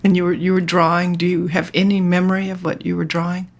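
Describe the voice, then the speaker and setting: A woman hosting an art podcast, reading a formal introduction and asking interview questions in a measured way from her apartment. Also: fairly low pitch, flat delivery. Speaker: podcast host. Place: Manhattan apartment.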